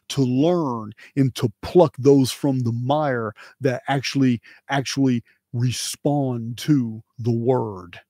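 Emphatic man, mellow close-miked voice. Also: medium pitch, breathy edge, dry recording. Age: middle-aged. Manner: emphatic, preachy, repeats phrases for emphasis. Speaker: channel host. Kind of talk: impassioned monologue to viewers. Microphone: close studio microphone on boom arm.

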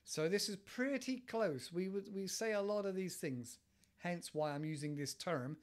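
Brief: English man in his forties, casual podcast teacher, rambling delivery, a touch high-pitched, home mic. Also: moderate pace. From England. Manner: relaxed, rambling, many fillers and restarts. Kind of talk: casual explanatory monologue. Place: living room at home. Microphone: headset with desk mic, webcam.

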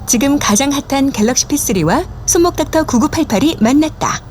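A woman's voice: high-pitched, lively intonation, a little fast, a little mellow, slight background noise. A woman reading scripted promotional and public bus announcements, polished, polite and carefully enunciated. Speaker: bus advertisement and safety announcer.

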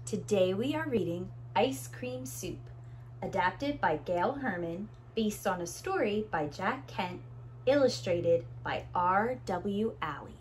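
Slow, animated woman reading to children. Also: mid-range voice, slight background noise, rough recording. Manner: clear, deliberate, expressive phrasing for young children. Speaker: preschool teacher, story time host. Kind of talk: children's picture book read-aloud. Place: small room indoors with bookshelves.

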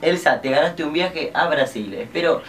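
A man's voice: on the high side, normal speed, fairly bright tone. A young man with an Argentine accent making a casual prank phone call as a prize announcer, recorded in a bedroom. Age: young adult. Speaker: prank caller. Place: small bedroom. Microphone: camera across the room. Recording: distant camera mic, faint room noise.

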